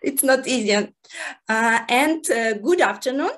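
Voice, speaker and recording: High female voice, non-native English, slow pace, giggly, cheerful, casual tutorial chat. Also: animated, a bit bright. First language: not English. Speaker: amateur radio host learning Japanese.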